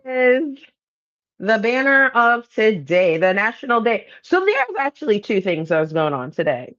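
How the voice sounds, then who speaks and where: Woman podcast co-host, teasing, casual chat, slightly high voice, muffled lo-fi audio. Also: sing-song, a little slow, fairly bright tone. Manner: teasing, playfully mimics her co-host. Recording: dull lo-fi sound.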